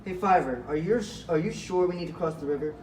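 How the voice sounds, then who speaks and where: A man's voice: on the high side, quick pace, darkish tone, natural voice. Earnest, protective male rabbit chief acted by a man, amateur puppet show, very roomy distant recording. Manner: earnest, concerned, questioning. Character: caring, cautious male rabbit leader. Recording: echoey, noisy room, far from mic.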